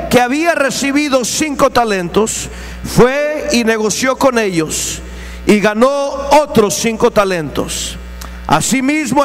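A man interpreting a preacher's sermon phrase by phrase in a church, his voice high and pitched to match the preacher's fervor. He delivers quick bursts of speech. Music is clearly heard in the background.

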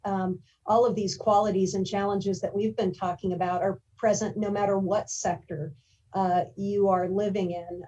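Mellow, husky woman on a video call. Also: medium pitch, lo-fi sound. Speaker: panelist, county official. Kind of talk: casual panel talk. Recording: distant video call audio.

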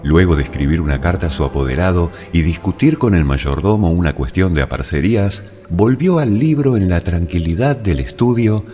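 A man narrating a literary story in a dramatic, measured and deliberate way. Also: sits low, expressive, dark tone. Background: music.

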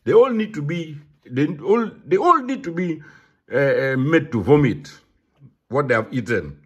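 A man's voice: on the high side, sing-song, measured pace, breathy edge, muffled recording. A man with a Ugandan accent speaking in a casual monologue, emphatic and given to repeating himself. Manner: repetitive, emphatic. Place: London.

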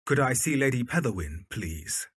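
A man playing a lovelorn, defensive young Victorian musician in a period audio drama, with a husky voice. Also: mid-range voice, big pitch swings, moderate pace, no echo, studio quality. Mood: surprised, dismayed.

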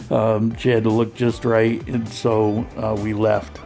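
A man with a low, gravelly voice tells his vlog story in a loose, chatty way, often pausing on "uh" and rephrasing mid-sentence. Faint guitar music plays softly behind him. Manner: relaxed, conversational, with frequent fillers and restarts. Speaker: vlogger. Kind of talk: voice-over narration of a clinic visit.

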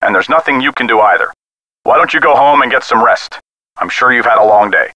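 Game role: skeptical, weary American cop on the phone, mid-pitched, hard-edged, folksy and condescending. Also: male voice, lively intonation, a little fast, pushed and bright, no echo, low-quality recording. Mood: placating. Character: dismissive, weary male police sergeant, skeptical and patronizing. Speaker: police missing-persons officer. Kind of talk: video game voice acting.

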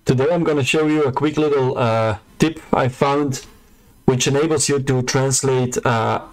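A man's voice: mid-range voice, steady tone, normal speed, poor audio. A man talking through a screen-recorded coding tutorial in a casual, unscripted way, with frequent fillers and restarts. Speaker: web developer tutor.

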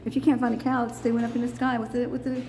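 A woman's voice: on the high side, little pitch movement, very fast. A middle-aged woman filming herself on a phone indoors, chatting and asking curious, teasing questions. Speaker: vlogger filming a public building. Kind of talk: casual self-filmed narration. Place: indoor courthouse hallways. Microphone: handheld phone. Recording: echoey hallway, loud background noise.

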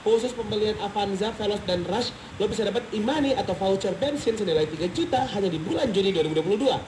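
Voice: animated, fast talker, background noise. A radio advert announcer, reading a casual promotion for young listeners. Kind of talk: casual youth-targeted advert read. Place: captured in a room from a radio's speaker. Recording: radio aircheck from a boombox speaker, roomy.

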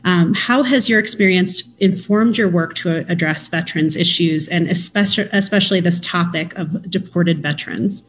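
A woman in her thirties moderating a webinar panel over a video call, asking polite, quick questions. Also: lowish voice, slight background noise, low-quality recording.

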